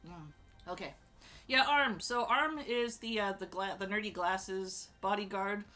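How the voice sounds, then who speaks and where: A woman chatting casually as she ranks characters, rambling with lots of fillers and restarts and sudden bursts of excitement. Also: mid-range voice, a little slow, faint music behind. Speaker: streamer ranking show characters.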